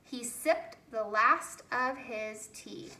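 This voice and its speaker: A woman reads a children's story aloud with expression. Her voice is bright and her pace is slow and deliberate, in a roomy, echoey recording. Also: fairly high pitch, slight background noise.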